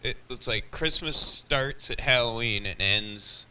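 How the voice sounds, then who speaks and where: A man's voice: mid-range voice, unhurried. A man chatting casually over CB radio in a plain-spoken, slightly grumbling way, with a distant, hissy sound. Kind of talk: casual chat. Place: on a CB radio live feed.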